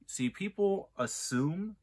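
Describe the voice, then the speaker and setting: A man's voice: high-pitched, expressive, takes it slowly, darkish tone, husky. A man in his forties giving a casual, rambling film review, often restarting his sentences as he argues a point. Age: 40s. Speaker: movie reviewer.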